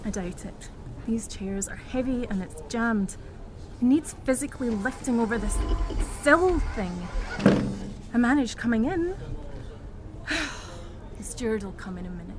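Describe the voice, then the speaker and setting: A woman's voice: on the high side, lively intonation, a little slow, pauses often, background noise. An actress playing a prickly, sardonic woman in a naturalistic audio drama, speaking bluntly. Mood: wry. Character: prickly, sardonic Scottish woman wheelchair user named Elsa.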